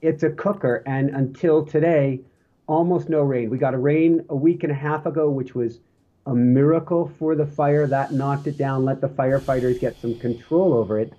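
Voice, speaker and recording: A male podcast host with a hoarse voice, chatting casually and rambling with fillers and restarts. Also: medium pitch, steady tone, normal speed, dark tone, lo-fi sound. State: hoarse, coughing from smoke.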